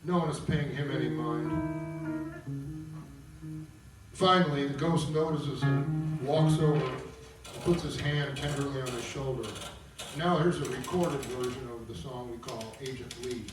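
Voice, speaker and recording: A man's voice: medium pitch, measured pace, loud music behind the voice. A man speaking in a conversational, explanatory way as he introduces an opera table read and reads out stage directions. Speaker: composer presenting his opera. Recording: echoey room, picked up from far.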